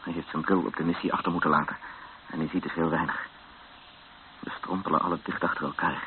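An actor playing a grave captive who narrates his ordeal in a radio drama in a measured way. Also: sits low, level tone, close to an everyday voice. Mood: grave, tense. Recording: muffled lo-fi radio recording with hiss.

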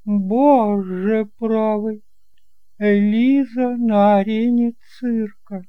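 Man as a middle-aged shopkeeper aunt, high raised voice, gushing, condescending, theatrical, audio drama. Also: fairly even intonation, extremely slow, clear, resonant tone, dry recording, phone-quality sound. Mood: dramatic, indignant. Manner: theatrical, exclamatory.

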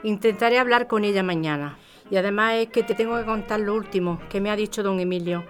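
Una mujer que interpreta a una madre de mediana edad, atenta y preocupada, con tono conversacional en una radionovela. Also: female voice, mid-range voice, fast, soft background music, lightly acted. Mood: worried, confiding. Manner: concerned, conversational. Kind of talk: radio drama acting.

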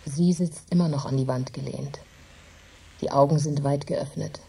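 A woman's voice: very deep voice, fairly even intonation, normal speed, vocal fry, husky, exaggerated voice. An actress playing a grief-stricken, embittered poor farm widow, telling her story intensely and dramatically in a radio play. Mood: hushed, trancelike. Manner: intense, dramatic, emphatic storytelling with hushed drops. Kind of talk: German radio drama monologue.

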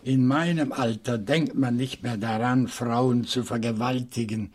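A man's voice: mid-range voice, steady tone, unhurried, darkish tone, husky. An actor playing a dignified, wary professor in his seventies, speaking formal, articulate German radio-drama lines. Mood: indignant, dignified. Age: 70s. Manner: articulate, formal, indignant pride. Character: dignified, wary seventy-year-old French professor living among books.

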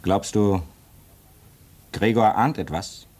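A man's voice: fairly low pitch, slow pace, darkish tone. A bitter restless young man. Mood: tense. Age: 20s. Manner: impatient, sarcastic, voice climbing when agitated. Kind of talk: 1950s radio drama acting.

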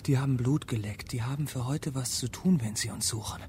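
A man's voice: medium pitch, brisk, dark tone, lightly acted. Young guy acting a street kid, tense and terse, medium voice, radio play, bit of noise behind. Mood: tense, grim. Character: tense, streetwise, fatalistic teenage boy.

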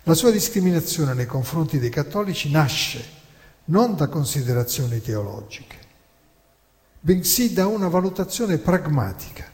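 A man's voice: fairly high pitch, sing-song, darkish tone. Man narrating theatrically in a reverberant hall. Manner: rhetorical, deliberate, emphatic phrasing with dramatic pauses. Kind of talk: theatrical historical lecture monologue.

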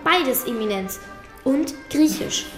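A woman's voice: high-pitched, expressive, slow pace. A woman playing an earnest, learned young medieval girl, precise and respectful, in a radio drama. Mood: respectful, eager. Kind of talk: German radio drama acting. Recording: roomy echo, faint music behind.

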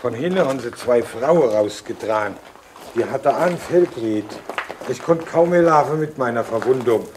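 Male actor as elderly villager in radio drama, speech, colloquial and halting, clear noise behind. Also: mid-range voice, lively intonation, a little mellow, close to an everyday voice. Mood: plain recollection. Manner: plain, halting, colloquial storytelling. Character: old village man recalling wartime hardship. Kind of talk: radio play eyewitness recollection.

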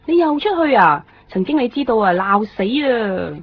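A woman's voice: fairly high pitch, very animated, normal speed. An actress playing a chatty, gossipy female office worker with a Hong Kong Cantonese accent in a radio drama. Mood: chatty, nagging. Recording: dull band-limited radio broadcast.

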